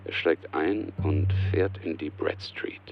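An actor as a sober scene narrator in a German radio drama, matter-of-fact and terse, with a muffled, radio-like sound. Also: male voice, rumbling low, steady tone, slow, gravelly, full character voice. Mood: detached. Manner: matter-of-fact, terse present-tense delivery.

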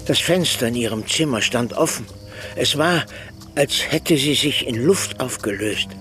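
A man's voice: mid-range voice, expressive, unhurried, fairly bright tone. An actor playing a frail, grieving old former clergyman, bitter and lonely, in a radio play. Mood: subdued, recounting. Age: older. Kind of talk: German radio play acting. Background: music.

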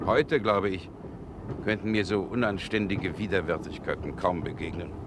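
An actor playing a brooding, haunted Russian gentleman in a German radio drama, speaking gravely and haltingly. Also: deep voice. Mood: musing, relieved. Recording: 1960 radio recording, some background noise.

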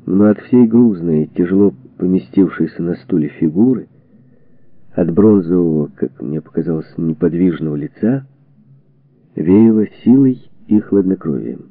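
Male audiobook narrator for history: gravelly, creaky edge, expressive measured phrasing, very dry narrow-band sound. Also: low voice, no echo. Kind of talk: scripted narration of a historical book.

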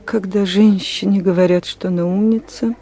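Hurt, ironic woman in love, played by an actress. Warm dark timbre, mid pitch, radio comedy play. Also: steady tone, moderate pace, slight background noise, close to an everyday voice. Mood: bitterly ironic. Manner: wry, wounded, sarcastic. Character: lovelorn female office worker, hurt, bitterly ironic. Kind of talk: Soviet radio comedy acting.

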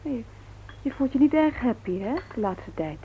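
An actress playing a friendly, soothing young engaged woman in a Dutch radio play, speaking gently and lightly. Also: fairly high pitch, very animated, normal speed, breathy edge, narrow, tinny audio, exaggerated voice. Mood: concerned.

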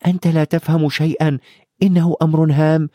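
A man's voice: a little high, level tone, fairly quick, mellow, no room sound, studio quality, voice pushed up, close to an everyday voice. A man voicing a determined schoolboy who speaks in a tense, urgent way.

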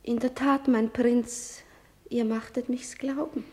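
An actress playing a gentle, heartbroken young noblewoman in a classical radio tragedy, plaintive and solemn. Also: on the high side, flat delivery, slow. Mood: timid, hurt. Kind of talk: classical stage tragedy in German radio drama. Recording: roomy, reverberant studio sound.

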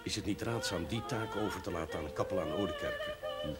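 An actor playing a pious, dignified parish priest who quotes scripture, in a television drama. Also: male voice, lowish voice, wildly swooping pitch, brisk, over loud music. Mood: dignified, dry. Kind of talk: television period drama acting.

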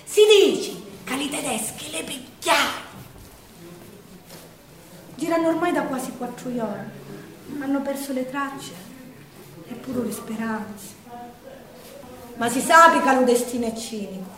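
High-voiced Sicilian woman declaiming a tragic tale. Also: a little slow, long pauses, fairly bright tone. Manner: declamatory, lamenting. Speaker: storyteller in a theatre show. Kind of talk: dramatic theatrical storytelling in verse. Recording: echoey room, distant from the mic.

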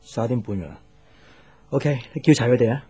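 An actor playing a lovesick, hard-working young office boss in a radio drama, earnest and understated. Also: male voice, medium pitch, fairly quick, mellow, husky, no room sound, faint music behind. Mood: businesslike, easygoing. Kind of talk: Cantonese radio drama acting.

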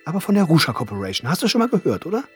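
A man's voice: on the high side, wildly swooping pitch, racing, breathy edge, full character voice. A male actor playing a puzzled, hesitant character in a radio drama, full of questions. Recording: loud music behind the voice.

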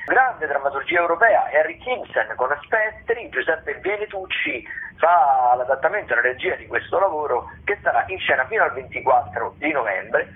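Brief: male critic, slightly high voice, informal and halting reviewer, tinny telephone sound. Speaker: theatre critic, radio contributor. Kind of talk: informal spoken listings review. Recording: tinny narrow-band phone line.

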